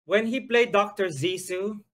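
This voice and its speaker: A middle-aged man with a Filipino accent and a slightly high voice, a comedian guest on a video call. He talks in a playful, campy way. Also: measured pace, a bit bright. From Philippines. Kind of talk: joking video-call banter.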